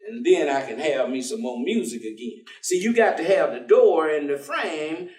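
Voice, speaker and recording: An actor playing a warm, streetwise American ex-convict turned preacher in a staged reading, with a folksy, slangy, teasing way of telling things. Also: male voice, a little high, sing-song, moderate pace. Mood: amused, boastful. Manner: folksy slangy drawling storyteller, teasing, chuckling. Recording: echoey theatre stage, distant mic.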